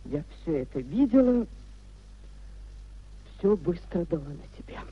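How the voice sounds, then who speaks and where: An actress playing a proud, wounded wife who reproaches in a measured, declamatory 1950s radio drama style. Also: female voice, on the low side, very animated, slightly rough, exaggerated voice. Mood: grave reproach. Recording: dull 1950s radio recording.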